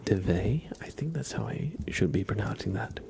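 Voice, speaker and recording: A man hosting live ASMR, chatting softly and playfully in a breathy, often whispered voice. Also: lowish voice, lively intonation, noisy background. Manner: playful, unhurried, talks to his cat. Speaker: livestream ASMR host.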